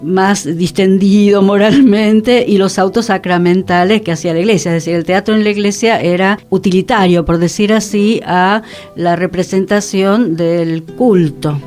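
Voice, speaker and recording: A woman's voice: mid-range voice, steady tone, moderate pace, a little mellow, faint music behind. A woman talking on a radio panel, explaining in an articulate, discursive, conversational way. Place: radio programme, guitar music playing behind the voice.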